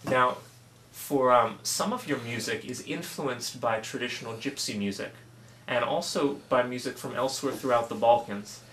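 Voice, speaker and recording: A man conducts an interview in a formal, measured way, reading a prepared introduction before asking polite questions. His voice is mid-pitched, with faint background noise. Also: a bit bright. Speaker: interviewer, author.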